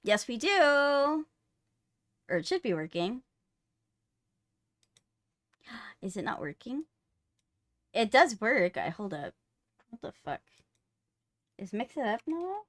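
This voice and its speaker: A woman chatting casually and reacting on a live game stream, profane, teasing and expressive. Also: on the high side, slow pace, pauses often. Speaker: streamer co-playing a visual novel.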